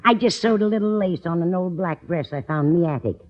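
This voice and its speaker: A woman's voice: lowish voice, natural voice. An actress playing a sweet, dithery older landlady posing as a maid in a 1950s American radio sitcom. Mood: coy. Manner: slow, fluttery, sweet. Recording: dull old radio recording, audience behind.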